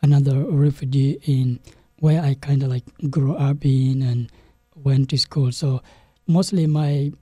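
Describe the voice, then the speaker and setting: Brief: young South Sudanese-born man, dark husky voice, halting, flat delivery, dry close mic. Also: medium pitch, dry recording, soft background music. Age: young adult. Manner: halting, many restarts and "you know". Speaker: guest, game developer. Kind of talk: interview storytelling.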